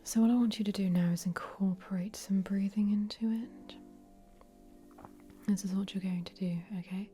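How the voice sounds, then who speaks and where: A woman voicing an easygoing, cheeky meditation guide in a breathy ASMR whisper, with gentle, rambling instructions. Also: medium pitch, steady tone, normal speed, soft background music, natural voice. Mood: soothing, gently cheeky. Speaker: ASMR host leading a relaxation session.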